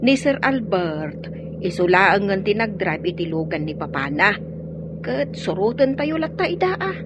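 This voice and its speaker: A woman's voice: mid-range voice, big pitch swings, a little slow, very noisy. Woman playing a soothing, polite housemaid. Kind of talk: Ilocano radio drama acting. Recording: dull lo-fi audio.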